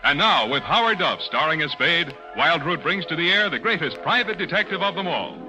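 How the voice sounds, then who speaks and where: A man with a very bright, fairly high voice announces in old-time radio style. His delivery is emphatic and salesmanlike, a little rough-edged. Faint music sits behind the vintage broadcast. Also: animated, fairly quick, poor audio. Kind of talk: 1940s radio announcing and sponsor pitch.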